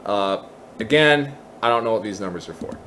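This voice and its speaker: A man's voice: on the low side, lively intonation, normal speed, sharp tone. Casual man explaining, some background noise. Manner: casual, explanatory, thinking aloud. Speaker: tutorial presenter. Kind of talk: unscripted screen-recorded software tutorial.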